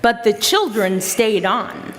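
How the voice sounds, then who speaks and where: A woman's voice: mid-range voice, very animated, slow pace. A woman narrating a scripted story for an amateur readers theater. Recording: reverberant hall.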